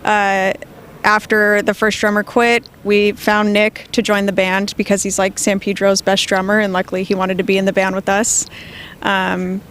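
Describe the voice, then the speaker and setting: A woman band member answering interview questions in a relaxed way, with hesitant "um"s. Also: medium pitch, moderate pace, a little hiss or hum.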